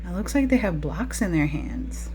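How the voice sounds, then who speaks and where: A woman's voice: lowish voice, very animated, normal speed, a little mellow, slight background noise. A woman preschool teacher talking to young children during a picture-book read-aloud, with frequent questions in a teacherly way.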